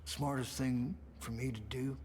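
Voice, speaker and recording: An actor playing a gruff, weary older American survivalist in a video game, talking bluntly with swearing and a folksy turn of phrase. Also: male voice, mid-range voice, fairly even intonation, a little slow, darkish tone, slight background noise, lightly acted. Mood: weary, angry. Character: gruff, weary older male survivalist, cynical but defiant. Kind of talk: video game cutscene acting. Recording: dramatic music behind the voice.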